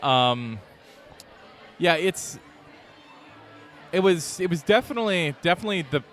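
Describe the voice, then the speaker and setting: A young man hosting a podcast, talking in a relaxed, rambling way over beers outdoors at a brewery. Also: fairly high pitch, measured pace, faint music behind, some chatter behind. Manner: relaxed, rambling, with many fillers and restarts. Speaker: podcast host and editor. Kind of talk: casual chat over beers.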